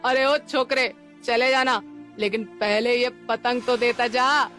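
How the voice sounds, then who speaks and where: Woman putting on an angry, forceful slum bully. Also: a little high, steady tone, slow, faint music behind. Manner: forceful, abusive street slang. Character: angry, bullying slum man, rough and threatening.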